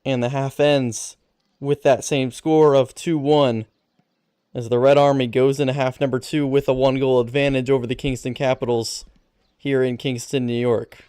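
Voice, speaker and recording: A man's voice: medium pitch, level tone, normal speed, dark tone, clean tone, no echo, clean studio sound. A man giving sports commentary as a match announcer.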